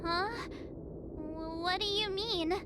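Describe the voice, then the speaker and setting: A woman voicing a perky, easily flustered teenage girl adventurer in a video game, chatty and expressive. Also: very high voice, slow pace, very noisy. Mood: alarmed. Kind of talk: acted video game dialogue. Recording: reverberant, with music behind.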